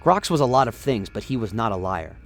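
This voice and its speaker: A man's voice: mid-range voice, big pitch swings, brisk. A man giving scripted, dramatic narration of a story as the narrator of a radio play.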